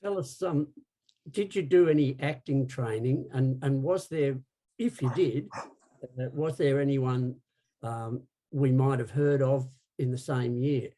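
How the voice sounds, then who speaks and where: A man with a very soft-edged, dark voice at medium pitch. He puts questions as an interview host, conversational and a little halting. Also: low-quality recording.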